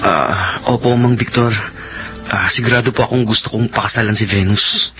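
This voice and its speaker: An actor playing an earnest, devoted young man in a radio drama, speaking haltingly and politely. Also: lowish voice, steady tone, natural voice. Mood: solemn. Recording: dull sound, music underneath.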